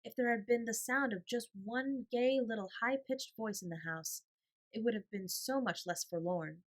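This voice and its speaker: A woman's voice: a little high, normal speed. A woman reading a bedtime story as scripted audiobook narration.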